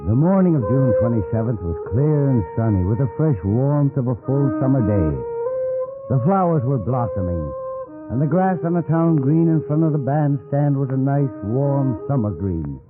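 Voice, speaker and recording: A man's voice: mid-range voice, big pitch swings, normal speed, close to an everyday voice. Man as storyteller on tinny old radio. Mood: calm, descriptive. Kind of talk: scripted story narration, old-time radio. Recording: tinny old radio broadcast, faint music.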